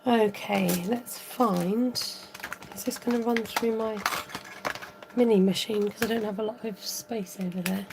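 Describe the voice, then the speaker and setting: A woman hosting a live card-making tutorial, thinking aloud as she works, chatting with viewers and reading out their comments. Her voice is a little croaky. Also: mid-range voice, fairly even intonation, measured pace, background noise. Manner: rambling, thinks aloud while working. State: slightly croaky voice. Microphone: overhead camera above a craft table, voice from off-frame.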